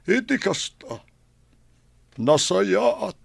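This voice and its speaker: An actor plays a solemn Ilocano priest in a radio drama. He counsels slowly and earnestly in a husky, creaky voice, with plenty of rise and fall. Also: high voice, very animated, a little hiss or hum, full character voice.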